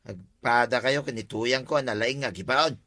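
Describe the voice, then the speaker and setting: A man's voice: medium pitch, normal speed, sharp tone, no room sound, clean studio sound, natural voice. A man playing a blunt, mocking village pal in a comedy audio drama, needling and dismissive. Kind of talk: comedy radio drama acting.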